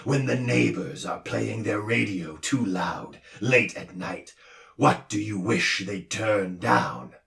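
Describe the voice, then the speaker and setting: A man voicing a pompous male doctor who lectures loudly and roars, in a storybook reading. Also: on the low side, animated, slow, creaky, echoey room, far from the mic, heavily acted. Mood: lecturing. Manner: loud, roaring, lecturing. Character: booming gruff male noise doctor, pompous.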